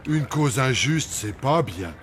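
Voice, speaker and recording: A man playing a kindly, slow-witted cartoon zombie who speaks simply and hesitantly. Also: mid-range voice, expressive, very noisy. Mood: kindly, confused. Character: kindly, dim-witted, lumbering male zombie. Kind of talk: French cartoon dub. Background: loud background music.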